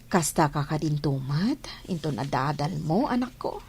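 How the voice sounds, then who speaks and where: Casting: middle-aged Ilocano mother, lowish voice, knowing and preachy, radio serial. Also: expressive, moderate pace, darkish tone, breathy edge, exaggerated voice. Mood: matter-of-fact. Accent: Filipino, Ilocano. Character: knowing mother, gossiping and moralizing. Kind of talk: radio drama acting.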